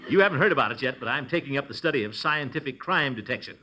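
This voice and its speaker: An actor playing a blustery, pompous middle-aged uncle who fancies himself a detective, in an American radio sitcom. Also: male voice, mid-range voice, animated, fast, close to an everyday voice. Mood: self-important. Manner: pompous, chuckling, exclamatory. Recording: noisy old radio broadcast recording.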